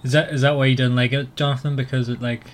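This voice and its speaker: A man's voice: medium pitch, level tone, quick pace. Northern Irish man chatting casually. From Northern Ireland. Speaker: podcast host. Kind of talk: casual book club discussion.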